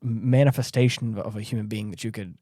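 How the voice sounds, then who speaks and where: A man's voice: on the low side, fairly even intonation, fairly quick, warm tone, no echo, studio quality. A man hosting a podcast in casual discussion, speaking earnestly and emphatically and repeating himself to stress his points. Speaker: podcast host.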